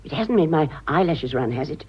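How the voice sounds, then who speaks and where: Husky, theatrical ageing society lady. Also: deep voice, animated, a little fast, dull audio. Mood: anxious vanity. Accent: British. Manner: theatrical, gushing, aristocratic. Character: vain, sentimental, flirtatious ageing society lady. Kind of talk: radio drama acting.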